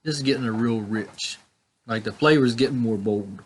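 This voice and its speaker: A man with a slightly raspy voice with a country vibe, chatting casually and musing with many fillers while reviewing cigars. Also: medium pitch, normal speed, a little hiss or hum, poor audio. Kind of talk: casual chat.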